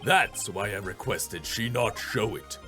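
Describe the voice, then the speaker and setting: A man's voice: lowish voice, pushed and bright, faint music behind, voice pushed down. A man voicing an arrogant male prosecutor in his sixties who talks in a haughty, cutting way. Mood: contemptuous. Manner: haughty, cutting, slow and deliberate.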